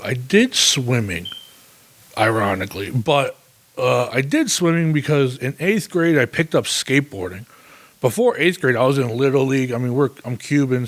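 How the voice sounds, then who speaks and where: A man in his thirties talks on a solo podcast, rambling from one thought to the next. He swears freely and laughs at himself, and his pitch sits in an ordinary middle range. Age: 30s. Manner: rambling, profane, self-mocking, with fillers. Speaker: podcast host. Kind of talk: casual solo podcast monologue.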